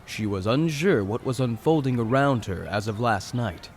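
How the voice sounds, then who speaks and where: Male narrator, medium pitch, for dramatic fantasy storytelling; scripted read with noticeable background noise. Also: animated, normal speed.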